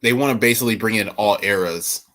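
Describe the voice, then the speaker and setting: A man's voice: lowish voice, quick pace, bright, forceful tone. A man in his twenties chatting casually and rambling about basketball, laughing often. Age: 20s. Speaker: podcast co-host. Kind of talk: casual sports chat.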